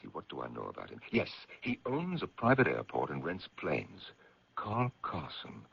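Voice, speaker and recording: An actor playing a wary, indignant magazine editor with formal, dryly challenging speech, in old-time radio drama style. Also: male voice, very deep voice, huge pitch swings, moderate pace, gravelly, fairly bright tone, slightly rough, no echo, heavily acted. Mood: thoughtful, suspicious. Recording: dull lo-fi radio recording.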